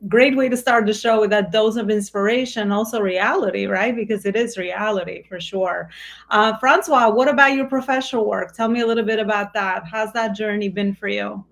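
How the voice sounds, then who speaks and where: A woman in a mid-range pitch hosts the interview. She talks through her thoughts in a relaxed, rambling way and leans on easy fillers. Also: creaky. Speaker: host. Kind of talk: casual interview chat.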